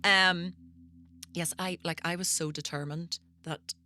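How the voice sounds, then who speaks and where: A woman podcast host and comedian from Northern Ireland, telling stories in casual chat, animated and laughing often as she acts out quotes. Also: lowish voice, measured pace.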